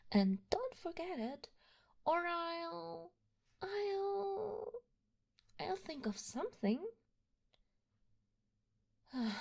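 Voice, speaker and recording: A woman playing an obsessive, doting young yandere kidnapper in an intimate ASMR roleplay, soft and breathy with frequent drops toward a whisper. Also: medium pitch, full character voice. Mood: firm, insistent. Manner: soft breathy delivery dropping often into whispers.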